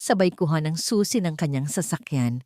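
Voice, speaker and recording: A woman's voice: deep voice, animated, moderate pace, warm tone, no room sound, studio quality. A woman narrator reading a scripted dramatic story.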